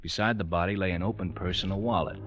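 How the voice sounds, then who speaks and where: Man acting deep-voiced detective giving a report. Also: over loud music, lightly acted. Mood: stolid, formal. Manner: formal, matter-of-fact, slightly halting. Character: stolid, formal male police detective testifying. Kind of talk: 1940s radio acting, reading a report. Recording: muffled vintage radio recording.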